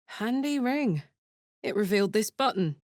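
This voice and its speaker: A woman's voice: mid-range voice, animated, a little slow, darkish tone, no room sound, studio quality, lightly acted. Woman acting brash, battle-hardened fantasy warrior woman. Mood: matter-of-fact. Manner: blunt, slangy, swearing, swaggering. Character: brash, battle-hardened, big-hearted tiefling barbarian woman. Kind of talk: video game voice acting.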